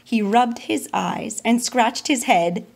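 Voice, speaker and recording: A woman's voice: fairly high pitch, takes it slowly, vocal fry. A woman reading a children's storybook aloud as its narrator. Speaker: storybook reader.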